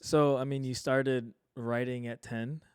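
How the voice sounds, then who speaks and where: An encouraging male host asks questions in a laid-back, friendly conversation and keeps nodding along with quick affirmations. His voice has a middle pitch and sounds dry and close, with no room echo. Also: level tone, a little mellow, clean tone. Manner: encouraging, lots of short backchannel affirmations. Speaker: pastor, interviewer. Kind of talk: casual interview conversation.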